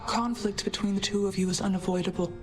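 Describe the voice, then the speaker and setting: A woman playing a calm, regal mother goddess in a video game, formal, measured and sorrowful. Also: medium pitch, faint music behind, natural voice. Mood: grave, sorrowful.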